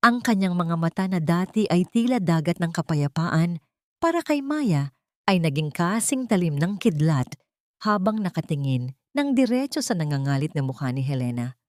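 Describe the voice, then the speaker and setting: Low-voiced woman narrating a dramatic story. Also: sing-song, normal speed, dry recording, studio quality.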